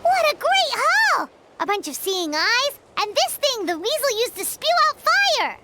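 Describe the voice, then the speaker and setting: A woman voicing a tiny, excitable floating fairy companion in a video game, squeaky and childlike. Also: unhurried, very noisy, exaggerated voice. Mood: excited.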